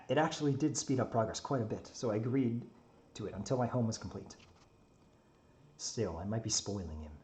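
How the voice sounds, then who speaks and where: A man's voice: medium pitch, darkish tone, lightly acted. A man voicing a proud, grumpy non-human creature in a dramatic story reading, haughty and brooding. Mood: grudging. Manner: brooding, haughty, muttering monologue.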